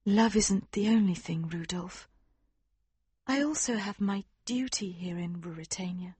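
Man playing a gentle dutiful young princess, storybook, high voice, breathy, dry close sound. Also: unhurried, no echo, exaggerated voice. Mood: tender. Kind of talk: audiobook character voice.

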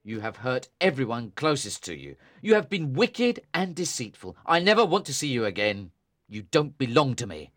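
A stern young brother, bitter and unforgiving, played by a man. He speaks in a cold, accusing way, and his pitch rises and falls sharply. It is a slightly higher voice for a man. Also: sing-song, normal speed, a bit bright, slightly rough, heavily acted. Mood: bitter, angry. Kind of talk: audiobook drama reading.

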